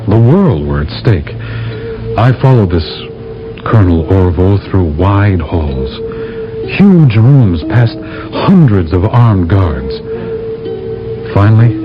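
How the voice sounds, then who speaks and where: An actor playing an earnest astronaut captain who narrates and pleads his case, in a 1970s radio drama. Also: deep voice. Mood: tense. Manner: earnest, persuasive, lively delivery. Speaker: narrator and lead. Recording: dull old radio broadcast.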